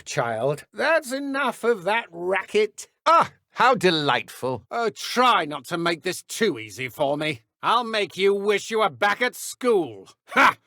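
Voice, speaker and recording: A woman with a British accent voicing a cruel, smug dark witch poacher in a fantasy video game, taunting and condescending in a theatrical way. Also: fairly low pitch, breathy edge, no echo, clean studio sound, full character voice. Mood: menacing. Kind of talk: villain combat and idle barks for a fantasy game.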